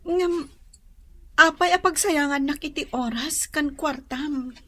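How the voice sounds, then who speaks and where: A young dressmaker being courted in a radio drama. Her voice is high, gentle and hesitant, with a soft questioning delivery. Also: slow pace. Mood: doubtful, grateful. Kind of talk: radio drama dialogue acting.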